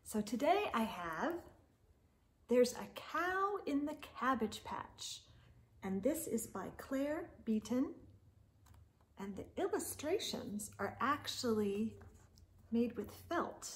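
A middle-aged woman reading a picture book aloud to young children in an expressive, sing-song way. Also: on the high side, slow pace. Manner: expressive, sing-song delivery with animal sounds and hushed asides. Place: living room or home library with bookshelves.

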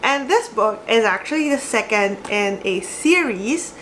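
A woman's voice: a little high, expressive, unhurried, a bit bright, a little hiss or hum, poor audio. A young woman from the Philippines with a Filipino accent, chatting casually to camera about books, giggling often. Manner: chatty and giggly, gestures a lot. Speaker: book vlogger. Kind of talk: casual to-camera book chat. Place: small cozy room indoors.

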